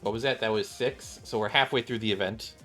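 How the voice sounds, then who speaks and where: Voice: medium pitch, fairly quick, sharp tone, faint music behind. A streamer giving casual, joking, rambling commentary while playing a video game. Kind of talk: casual live commentary and story reading.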